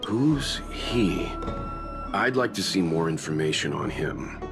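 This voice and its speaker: Deep-voiced reserved investigator, measured and hesitant, game cutscene over music. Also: male voice, very animated, exaggerated voice. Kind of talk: game voice acting.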